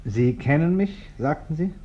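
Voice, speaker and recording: An actor playing a polite, hesitant, respectable householder in a German radio play, courteous and awkward. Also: male voice, fairly high pitch, expressive, normal speed, lightly acted. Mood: uneasy. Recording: muffled, noisy old radio recording.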